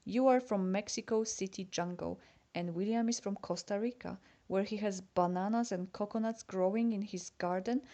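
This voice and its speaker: Polish woman, native Polish speaker, confiding letter-reader, relaxed dark tone, mid pitch. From Poland. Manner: chatty and confiding, addressing a friend. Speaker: letter writer. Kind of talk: reading a personal letter aloud.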